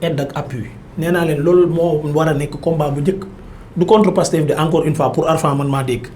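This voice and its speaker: A man's voice: fairly high pitch, steady tone, a little fast, darkish tone, echoey room, slight background noise. A Senegalese man speaking as a political commentator in an emphatic, insistent debating style. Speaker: political commentator on a talk show. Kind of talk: argumentative political debate, mixing Wolof and French.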